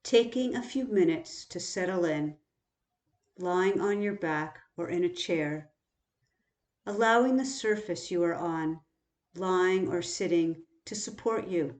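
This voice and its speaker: A woman guiding a body scan meditation in a calm, unhurried, instructional way, leaving long pauses. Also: fairly low pitch. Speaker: meditation teacher. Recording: roomy, echoing sound.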